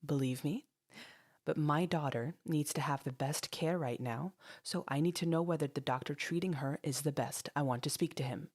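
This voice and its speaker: A woman's voice: low-pitched, moderate pace, darkish tone. A woman voicing a protective mother in an audio story, stoic, firm and coolly polite. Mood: polite, insistent. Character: composed, icily polite, protective mother demanding answers.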